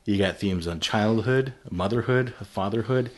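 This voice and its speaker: A man hosting a movie podcast, giving his views in a relaxed, thinking-aloud way. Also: lowish voice, a little slow. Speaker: podcast host. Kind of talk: casual film discussion.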